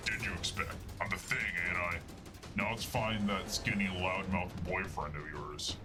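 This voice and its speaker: A man playing a gruff, cocky monstrous hero in a comic book reading. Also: sits low, steady tone, moderate pace, music in the background, lightly acted. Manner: gruff, brash.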